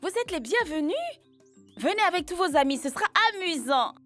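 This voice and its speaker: A woman's voice: very high voice, animated, brisk, fairly bright tone, soft background music. A woman voicing a friendly but anxious young party organiser in a children's cartoon, speaking earnestly. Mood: welcoming. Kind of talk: children's educational cartoon dubbing.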